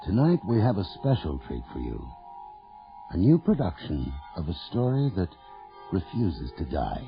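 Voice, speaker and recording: An actor playing a sinister, grave host introducing horror tales in a theatrical radio style, with a gravelly voice. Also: male voice, low voice, wildly swooping pitch, moderate pace, heavily acted. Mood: ominous, grave. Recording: dull lo-fi old radio broadcast. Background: loud eerie music.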